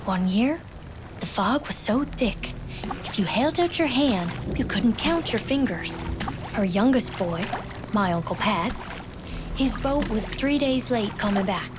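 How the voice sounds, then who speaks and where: A woman playing a young, grieving wartime nurse in a game drama, soft and wistful, with a Newfoundland accent. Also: on the high side, animated, a little slow, over music. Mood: hushed storytelling. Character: grieving wartime nurse, sister of a fallen soldier. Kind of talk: dramatic game cutscene storytelling.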